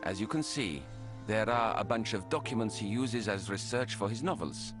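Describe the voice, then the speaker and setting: A man's voice: fairly low pitch, animated, moderate pace, close to an everyday voice. An actor playing a concerned, helpful male academic in a horror video game, with an earnest, explanatory way of talking. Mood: helpful, matter-of-fact. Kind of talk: dramatic video game dialogue. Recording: music behind the voice.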